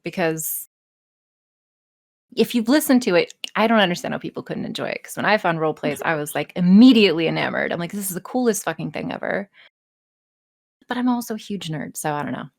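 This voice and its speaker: A woman's voice: fairly low pitch, lively intonation, a little fast, creaky, no room sound, studio quality. A woman speaking candidly in casual podcast chat, emphatic and freely swearing, with some self-mockery. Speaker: podcast guest, erotic audio role-play performer.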